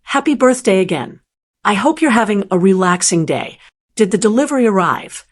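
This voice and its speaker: A woman voicing a scheming daughter-in-law with a bright, polished, hollow tone and sugary fake concern. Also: mid-range voice, expressive, moderate pace, close to an everyday voice. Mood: falsely sweet.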